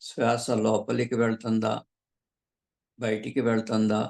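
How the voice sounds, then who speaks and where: Gravelly man guiding a meditation. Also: lowish voice. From southern India. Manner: calm, unhurried, with frequent pauses between short phrases. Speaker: meditation teacher. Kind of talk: guided meditation instruction.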